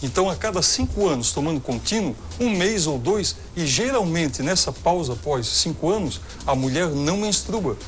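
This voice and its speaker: A man's voice: a little high, animated, moderate pace, hardly any pauses. Brazilian man explaining as a doctor. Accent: Brazilian Portuguese. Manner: explanatory, methodical, with hesitations. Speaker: gynecologist guest expert. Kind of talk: answering viewer questions on a TV health show.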